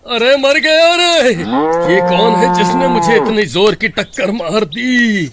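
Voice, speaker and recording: A man's voice: sits high, expressive, takes it slowly, over a noisy crowd, heavily acted. A man voicing a greedy, cowardly village milkman in a melodramatic cartoon story, whiny and exclaiming. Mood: pained, whining.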